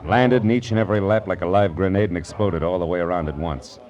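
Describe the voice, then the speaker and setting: A man's voice: low voice, fast talker, a little mellow, dull audio, natural voice. A man playing a wry, hard-boiled private detective, narrating in clipped 1940s radio noir style. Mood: wry, storytelling. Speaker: lead actor and narrator. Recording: old radio broadcast with music bed.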